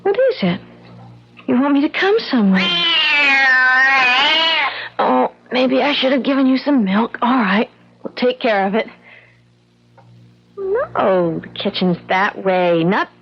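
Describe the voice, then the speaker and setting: An actress playing an anxious, affectionate young wife in an old-time radio drama, with an expressive, emotive delivery. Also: female voice, a little high, slow, pauses often, full character voice. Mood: puzzled, coaxing. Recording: muffled, hissy old radio drama recording.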